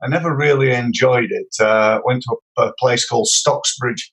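A man's voice: medium pitch, normal speed, fairly bright tone, slightly rough, poor audio. A middle-aged man with a Yorkshire accent, telling his life story as a podcast guest, hesitant with many fillers and restarts. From Sheffield, England. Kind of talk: casual interview storytelling.

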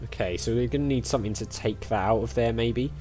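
A man's voice: medium pitch, fast, darkish tone, faint music behind. A chatty man giving casual let's-play commentary, thinking aloud as he goes. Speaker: gaming YouTuber.

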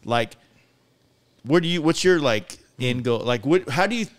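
A man's voice: mid-range voice, animated, normal speed. A man of about forty hosting a casual podcast chat, swearing freely and rambling as he interrupts himself, close on a podcast mic. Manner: profane, rambling, self-interrupting. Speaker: podcast host. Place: garage workshop, indoors. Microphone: podcast microphone on boom arm, close.

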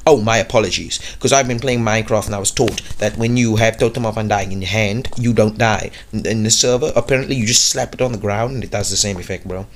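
A man's voice: lowish voice, quick pace, hardly any pauses, a little hiss or hum. A young man casually commentating a mobile game, rambling and joking in slangy chat. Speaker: gaming YouTuber.

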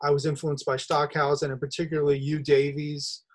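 A middle-aged man hosting a podcast over a video call, explaining his ideas in a measured, thoughtful way. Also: on the high side, monotone. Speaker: podcast host. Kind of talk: interview conversation. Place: home studio room, video call. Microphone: video call webcam.

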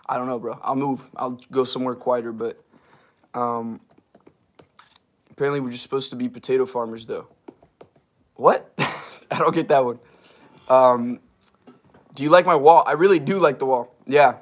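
A young man in his twenties with a slightly hoarse, strained voice, rambling casually with fillers and laughs as he reads viewer comments on a livestream from a small wood-paneled garage room, heard through a device camera at arm's length. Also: mid-range voice, fairly even intonation, moderate pace, long pauses. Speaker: livestream host.

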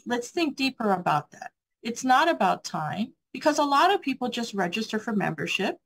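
A woman's voice: mid-range voice, rough recording. American woman, motivational seminar speaker over a video call, earnest and encouraging. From USA. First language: English. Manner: earnest, encouraging, asks the audience rhetorical questions. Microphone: video call mic.

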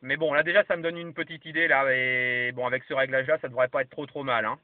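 A man's voice: mid-range voice, fairly even intonation, quick pace, dry recording. A man chatting casually as a radio operator and giving signal reports. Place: parked vehicle. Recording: lo-fi camera audio, muffled, dry.

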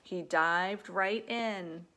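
A woman, around her thirties, reading a children's picture book aloud like an elementary teacher, with expressive, deliberate delivery and explanatory asides. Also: lowish voice. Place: living room at home.

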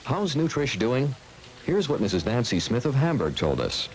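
A man's voice: mid-range voice, sing-song, normal speed. An American man narrating a 1970s radio commercial. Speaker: commercial announcer. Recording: faintly noisy radio aircheck cassette.

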